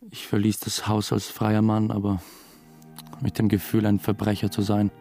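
A man's voice: lowish voice, completely flat delivery, moderate pace, vocal fry, warm tone, soft background music. An actor playing a brooding, weary man who narrates his own story in a radio drama, subdued and sometimes hesitant. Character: brooding, weary male first-person narrator under suspicion.

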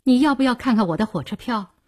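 A woman playing a cold, sarcastic, fed-up partner with a breathy, husky voice and curt, biting delivery in an audiobook. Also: on the high side, expressive, fast. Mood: cold anger. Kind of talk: dramatised audiobook dialogue.

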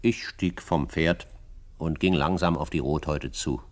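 An actor playing a self-assured frontiersman who narrates his own adventures in a radio drama, in a measured storytelling manner. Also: extremely low pitch. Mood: assured, suspenseful. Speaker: first-person narrator.

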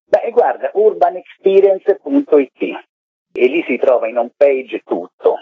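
A man's voice: a little high, sing-song, slow, breathy edge, no echo. A middle-aged or older man explaining things conversationally as an event curator in a radio interview, checking in with short tag questions. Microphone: telephone. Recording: tinny, narrow-band phone line.

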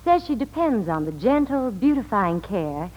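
Lively woman radio reporter. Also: mid-range voice, moderate pace, dull audio. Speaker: Hollywood reporter and soap pitchwoman. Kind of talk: scripted 1940s radio chat and advertising.

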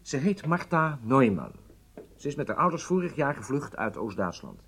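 A man's voice: medium pitch, sing-song, moderate pace, a bit bright, a little hiss or hum. An actor playing a charming, self-assured agent in his late thirties, speaking with casual nonchalance in a radio drama. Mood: pleased, insistent. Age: 30s.

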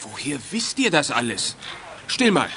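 An actor playing a brisk, authoritative policeman in a 1980s youth audio drama, speaking in a clipped official way. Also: medium pitch, wildly swooping pitch, vocal fry, crowd noise, full character voice. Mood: stern questioning. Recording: slightly noisy old audio drama recording.

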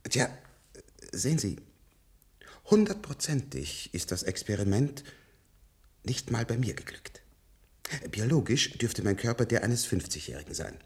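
An actor in a German radio play, playing a weary, very old scientist who talks hesitantly and reflectively in a husky, gravelly voice. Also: male voice, fairly low pitch, sing-song, measured pace, long pauses. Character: weary, very old scientist with a longevity-treated middle-aged body.

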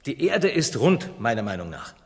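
An actor playing a naive, eager youth in a satirical radio play, earnest and rambling in a boyish way. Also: male voice, mid-range voice, sing-song, moderate pace, vocal fry. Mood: bold, earnest. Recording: long reverberant hall.

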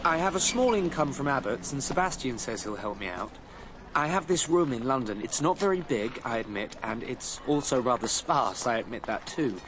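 An actor playing an earnest, dreamy young would-be composer in a radio drama, fervent and self-deprecating. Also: male voice, medium pitch, lively intonation, moderate pace, background noise, lightly acted. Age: young adult.

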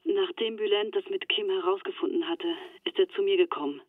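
A woman's voice: medium pitch, brisk, no room sound. An actress in a radio play playing a shaken young woman in hiding, confiding over a telephone. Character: shaken Turkish-Swiss woman in hiding, recounting betrayal. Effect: telephone filter. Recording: thin, dry phone-line sound.

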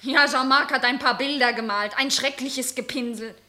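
An actress in a radio play playing a desperate, fiercely loyal young wife, impassioned and emphatic. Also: female voice, high-pitched, normal speed, pushed and bright. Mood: defensive, agitated. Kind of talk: German radio play acting.

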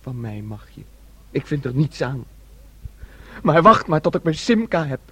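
An actor in a Dutch radio play playing a childlike, eager, dreamy young man, naive and insistent, with a husky voice. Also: a little high, sing-song, measured pace, pushed and bright, no room sound, exaggerated voice. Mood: stubborn, boyish. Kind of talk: Dutch radio drama acting.

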